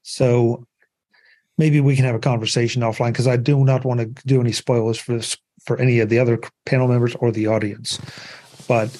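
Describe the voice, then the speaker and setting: A man's voice: medium pitch, level tone, fairly quick, warm tone. A man hosting a casual panel discussion, talking in a rambling, conversational way with many fillers. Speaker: podcast host.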